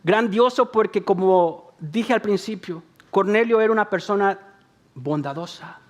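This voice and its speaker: A man's voice: high-pitched, measured pace. A man from El Salvador preaching a sermon, talking to the congregation in a conversational way, repeating phrases for emphasis and joking about himself. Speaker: pastor.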